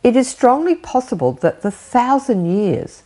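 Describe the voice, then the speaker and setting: Slow, lively older woman preaching. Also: a little high. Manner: animated, gesturing, rhetorical questions. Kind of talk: recorded home sermon. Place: living room with bookshelf, indoors. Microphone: fixed camera framing, seated.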